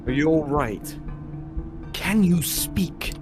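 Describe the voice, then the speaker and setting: A man's voice: a little high, expressive, takes it slowly. Man playing an earnest vampire operative over music. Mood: concerned. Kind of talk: amateur visual novel dub.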